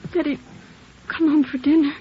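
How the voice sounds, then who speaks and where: A muffled, slightly noisy vintage radio drama recording. An actress plays a worn-out, fragile wife of a man on the run, her high voice full of resigned emotion. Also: level tone, fairly quick, lightly acted. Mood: weary, resigned. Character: weary, distraught wife of a fugitive killer. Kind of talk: 1950s radio drama acting.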